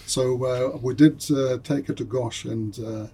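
A man's voice: medium pitch, steady tone, measured pace, vocal fry, warm tone, slightly rough. A man whose first language is German, speaking English in a hesitant way with many fillers, chatting with colleagues in an academic meeting. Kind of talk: casual academic discussion.

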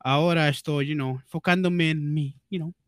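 A man's voice: fairly high pitch, steady tone, normal speed, very noisy. A man chatting casually as a podcast guest, slangy and jokey, peppering his Spanish with English fillers. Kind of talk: casual bilingual chat.

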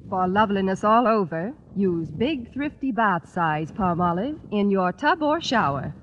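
A woman reads an old radio commercial with polished, instructive delivery. Her medium-pitched voice is lively, heard through a dull recording with faint noise. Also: a little slow. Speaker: commercial spokesperson. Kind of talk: 1940s-50s radio advertising read. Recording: dull, slightly noisy vintage radio recording.

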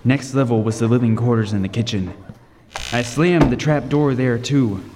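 A man's voice: medium pitch, normal speed, natural voice. An actor playing a lighthouse keeper who tells his horror story with vivid, suspenseful intensity. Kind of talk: dramatic first-person narration in radio table read. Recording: roomy long reverb, faint noise.

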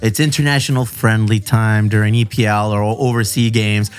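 A man's voice: lowish voice, steady tone, a little fast, clear, resonant tone, faint music behind. A man hosting a casual podcast chat, laid-back and enthusiastic, with plenty of swearing.

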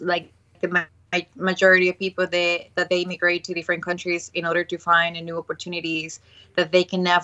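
Woman from Ecuador, late twenties, podcast guest, earnest, bright forceful voice, dull lo-fi sound. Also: on the low side. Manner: earnest, frequent restarts and fillers. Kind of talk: casual interview conversation.

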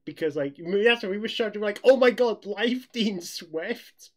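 A young man with a fairly high-pitched voice chats casually as a podcast co-host. He rambles through anecdotes, restarting excitedly and giggling easily. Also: normal speed. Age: young adult. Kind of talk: casual chat, telling anecdotes.

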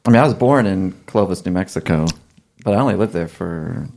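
A man's voice: sits low, a little fast, creaky, a little mellow. A man who grew up in Maryland, co-hosting a podcast, rambling and thinking aloud as he talks. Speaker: podcast co-host. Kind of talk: casual podcast chat.

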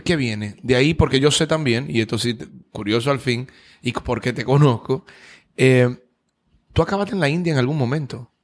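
A man, interviewing a guest in a casual, conversational style. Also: mid-range voice. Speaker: interview host. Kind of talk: casual chat.

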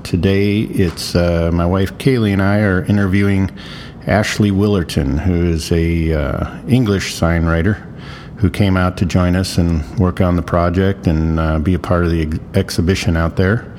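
A man hosts an interview podcast in a laid-back, filler-heavy way. His voice is low and mellow. Faint noise sits under it. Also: fairly even intonation, moderate pace. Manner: laid-back, lots of fillers, asks short follow-up questions. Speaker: podcast host and sign painter. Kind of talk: casual interview chat. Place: bar.

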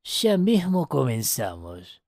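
Guy doing an old argentine tour guide for a story, slow n polite, lively pitch, dry sound. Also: no room sound, heavily acted. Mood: polite, routine.

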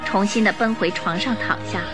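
A woman narrating a radio drama in an expressive, measured, scripted storytelling style. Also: mid-range voice. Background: music under the voice.

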